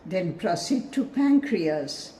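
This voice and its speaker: Slow, mellow woman guiding meditation. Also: lowish voice, sing-song, a little hiss or hum. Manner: measured, instructive, soothing. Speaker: meditation guide. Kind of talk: guided meditation, scripted narration.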